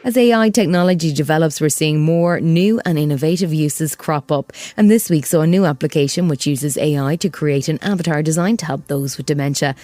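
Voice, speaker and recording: A woman's voice: low voice, fairly quick, hardly any pauses, warm tone, dry recording. A woman presenting a podcast with scripted news narration.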